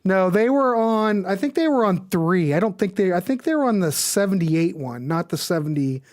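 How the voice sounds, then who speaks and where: A woman's voice: lowish voice, a little mellow. A woman hosting a music show with casual, off-the-cuff chat, folksy and chatty, often thinking aloud.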